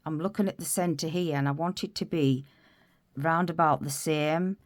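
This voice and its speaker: A woman's voice: low-pitched, steady tone, moderate pace. A woman giving a casual crochet tutorial, stuffy from a cold and chatty, wandering off mid-sentence. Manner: chatty, rambling, self-interrupting.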